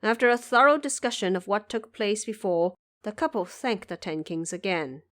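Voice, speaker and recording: A woman's voice: mid-range voice, normal speed, a bit bright. A woman giving scripted storytelling narration for an audio drama.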